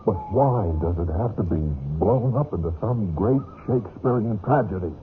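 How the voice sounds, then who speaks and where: An actor playing a cold, impatient lover, dismissive and exasperated, in old-time radio drama style. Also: male voice, lowish voice, animated, normal speed, close to an everyday voice. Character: cold, impatient man brushing off his lover. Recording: muffled, noisy vintage radio recording.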